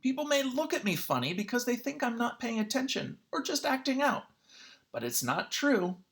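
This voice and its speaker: Someone reading a children's picture book aloud in an expressive, teacherly way. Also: medium pitch, normal speed. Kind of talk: children's read-aloud narration.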